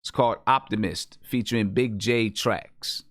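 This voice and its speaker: A man's voice: lowish voice, steady tone, a little slow, a bit bright, no echo, studio quality. A man hosting a music show, talking casually as a beat maker and repeating his phrases for emphasis. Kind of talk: casual chat about music.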